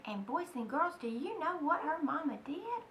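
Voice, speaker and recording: High-pitched woman reading to children. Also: normal speed. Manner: expressive, addressing young listeners directly. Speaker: storybook reader for children. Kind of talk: children's read-aloud narration. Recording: roomy, distant mic, faint noise.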